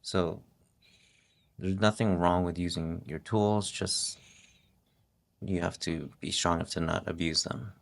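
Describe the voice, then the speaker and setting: Deep-voiced middle-aged man, gravelly, monotone, casual podcast guest. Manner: relaxed, halting, with many restarts. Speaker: podcast guest, host's husband. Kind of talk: casual interview talk.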